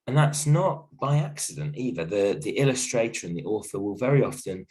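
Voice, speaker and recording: A male teacher with a croaky voice from illness, giving a gentle, reassuring video lesson from home with many ums and restarts. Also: on the low side, animated, a little fast. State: croaky voice from a cold, coughing. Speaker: primary school teacher. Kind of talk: recorded video lesson for a class.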